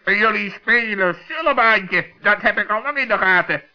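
A man's voice: sits high, animated, moderate pace. A man playing a dignified, sensible talking bird in a 1960s children's radio play, formal and reproving. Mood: stern. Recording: dull old radio recording with music.

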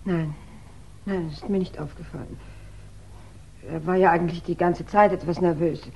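A distressed widow in a vintage radio crime drama. Her lowish voice is soft-toned and creaky, reserved yet troubled. Faint noise sits behind the old recording. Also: steady tone, normal speed, dull audio. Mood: subdued, guarded. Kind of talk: 1960s German radio crime drama acting. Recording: noisy 1960s radio recording.

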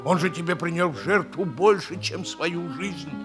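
An actor playing a frank, loyal hussar captain, speaking in an emphatic, theatrical 1960s radio comedy style. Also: male voice, fairly high pitch, normal speed, lightly acted. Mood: earnest, grave. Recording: 1960s radio play with music.

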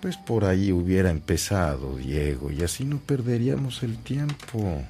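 An actor playing a devout, plain-spoken and compassionate priest in a radio drama, with a solemn pastoral manner. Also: male voice, low-pitched, animated, normal speed, warm tone, soft background music. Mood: solemn, forgiving.

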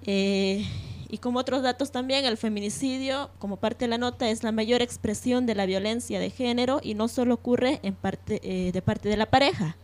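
A woman presenting a radio programme, part reading news and part speaking off the cuff, with hesitant, halting phrasing. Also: on the high side.

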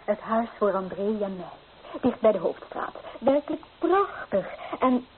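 A woman playing an excitable, proud young engaged granddaughter in a radio play, gushing and coaxing. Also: mid-range voice, unhurried. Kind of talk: Dutch radio play acting. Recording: tinny old radio recording with hiss.

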